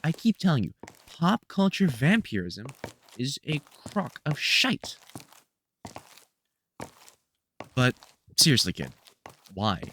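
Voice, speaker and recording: Audio drama casting: male actor as seasoned vampire hunter, mid-range voice with animated swings, sardonic and protective mentor. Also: medium pitch, measured pace, slight background noise. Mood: exasperated, teasing. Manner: colloquial, sardonic, scolding mentor with mild swearing. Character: gruff, protective veteran male vampire hunter, exasperated but fond. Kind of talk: scripted audio roleplay, one-sided conversation.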